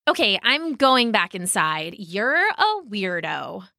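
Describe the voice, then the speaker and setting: A woman's voice: mid-range voice, big pitch swings, slow pace, gravelly, pushed and bright, no echo, studio quality, exaggerated voice. A woman voicing a flustered, impatient older sister in a children's story, sputtering and indignant. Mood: annoyed.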